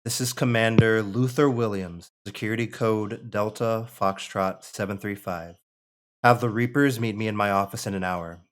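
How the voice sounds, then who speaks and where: A stern commander in his fifties , read by a man, speaks low. He is curt, measured and used to being obeyed, in an audio script read. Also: fairly even intonation, lightly acted. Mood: cold, commanding.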